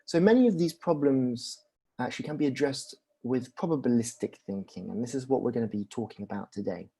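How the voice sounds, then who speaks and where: A man's voice: mid-range voice, a little fast, dark tone, slightly rough. A young man giving a conversational lecture, full of ums and restarts and rhetorical questions.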